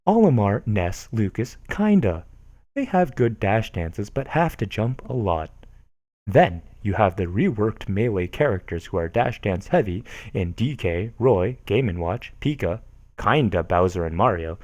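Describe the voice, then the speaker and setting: A man's voice: fairly low pitch, very animated, measured pace, darkish tone, no echo. A young man reading online posts aloud to viewers, with amused, chuckling asides in between. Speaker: streamer reading forum posts.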